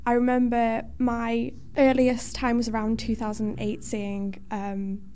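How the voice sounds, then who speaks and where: A woman's voice: a little high, flat delivery, unhurried, mellow, a little hiss or hum. A young woman with a Liverpool Scouse accent, chatting casually as a student guest in an interview. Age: young adult.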